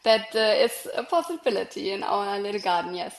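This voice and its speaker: A woman's voice: medium pitch, expressive, fairly quick, echoey room, low-quality recording. A woman from Germany with a German accent, a native German speaker, answering conversationally as an interview guest and surgeon.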